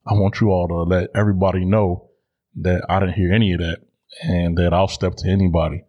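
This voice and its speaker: A man in his thirties with an American accent talks as a solo podcast host. His voice is very low and husky, and he speaks conversationally to his listeners with little rise and fall. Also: flat delivery, brisk, lo-fi sound. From Chicago, United States.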